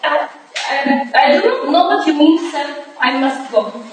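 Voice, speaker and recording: In a very echoey hall, far from the microphone, an actress plays an anxious young foreign woman. Her English is halting and stilted, and her voice sits high, as in a Victorian stage drama. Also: animated, noisy background. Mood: nervous, defensive.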